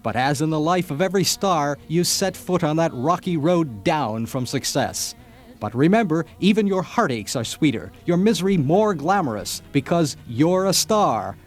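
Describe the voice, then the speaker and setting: A man's voice: a little high, lively intonation, moderate pace. A man playing a grandiose, dramatic contest announcer in a satirical radio ad. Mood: melodramatic. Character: grandiose contest announcer selling a movie star's glamorous, tragic life. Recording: music bed under the voice.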